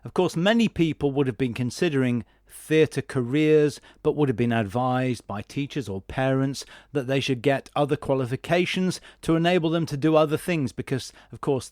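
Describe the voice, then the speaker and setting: A man's voice: medium pitch, moderate pace, darkish tone, no echo, studio quality. A man hosting a podcast and interviewing in a conversational way.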